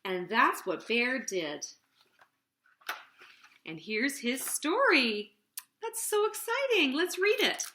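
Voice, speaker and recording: A woman hosting children's storytime, narrating a picture book in an expressive, deliberate, sing-song way. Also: sits high, a little hiss or hum.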